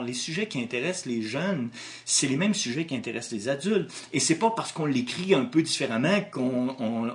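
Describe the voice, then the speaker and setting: A man with a Québécois French accent, an author answering interview questions in a thoughtful way, with frequent restarts. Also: medium pitch. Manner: thoughtful, with many restarts and hesitations.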